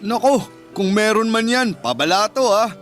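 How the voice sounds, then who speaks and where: A man's voice: sits high, expressive, normal speed, a bit bright, faint music behind. An actor playing a chummy, joking male office workmate with a Filipino accent, bantering colloquially in a radio drama. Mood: friendly, joking.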